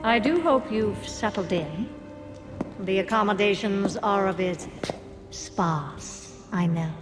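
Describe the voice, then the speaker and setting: An actress playing a composed, condescending villainous captor in a game cinematic, measured and mockingly courteous. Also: female voice, mid-range voice, expressive. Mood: coolly mocking. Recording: game cinematic audio with music behind. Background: clear cinematic music.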